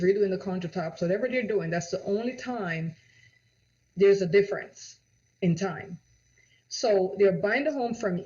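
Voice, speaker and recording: A woman from Jamaica with a Jamaican accent, a real estate agent pitching her home-selling guarantee, full of rhetorical questions and a repeated "right?". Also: darkish tone. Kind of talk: direct-to-camera sales pitch. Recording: dull lo-fi audio.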